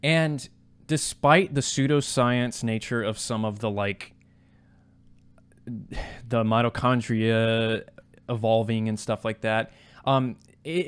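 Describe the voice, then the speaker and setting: A man's voice: medium pitch, clean tone. A man co-hosting a podcast, chatting informally about a game and peppering his opinions with "like". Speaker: podcast co-host. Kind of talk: casual video game review discussion.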